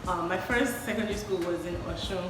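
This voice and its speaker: A woman's voice: lowish voice, brisk, very echoey, big-room sound, heavy background noise. A young Nigerian woman hosting a casual chat with friends, teasing and laughing often. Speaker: vlogger host.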